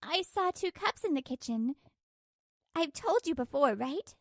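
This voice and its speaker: A woman's voice: sits high, lively intonation, unhurried, no room sound, clean studio sound. A woman voicing an exasperated, aggrieved working wife in a scripted manga dub, narrating and arguing. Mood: exasperated, reproachful.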